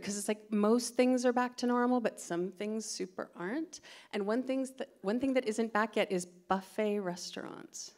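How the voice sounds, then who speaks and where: A middle-aged American woman from California doing a chatty, self-deprecating stand-up set on a theatre stage into a stand microphone. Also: mid-range voice. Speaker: stand-up comedian. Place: theatre stage before a live audience.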